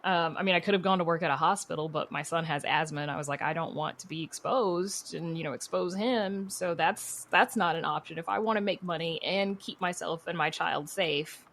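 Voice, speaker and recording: A woman's voice: lowish voice, brisk, hardly any pauses, a bit bright, slight background noise. A woman podcast creator and writer answering interview questions in an informal, chatty, storytelling way. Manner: informal and chatty, laughs easily while telling stories. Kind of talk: casual conversational interview answers.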